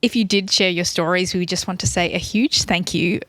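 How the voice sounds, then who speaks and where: A woman's voice: lowish voice, steady tone, fairly quick, a bit bright, dry recording. A woman co-hosting a podcast in casual chat, reacting often with short sympathetic interjections. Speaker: podcast co-host.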